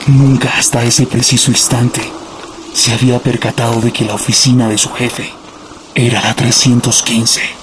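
An actor as the grave, ominous narrator of a horror radio drama, measured. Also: male voice, medium pitch, little pitch movement, lightly acted. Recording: clear background noise and ambience.

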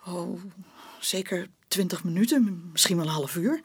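An actress playing a bitter, stubborn working-class mother giving a confession in a Dutch radio play, speaking colloquially and haltingly. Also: fairly low pitch, husky. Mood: anxious recounting.

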